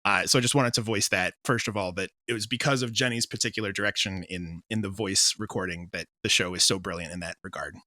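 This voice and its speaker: Bright-voiced man chatting in an interview. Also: fairly low pitch, fast talker, hardly any pauses, dry recording, studio quality. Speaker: audio drama creator, interview guest. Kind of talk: casual interview chat.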